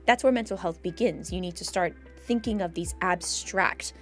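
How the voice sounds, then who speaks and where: A woman's voice: fairly low pitch, normal speed. A woman hosting a conversational discussion, explaining things in an earnest, emphatic way. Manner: earnest, emphatic, explanatory, voices imagined quotes. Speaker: podcast host and mental health professional. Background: background music.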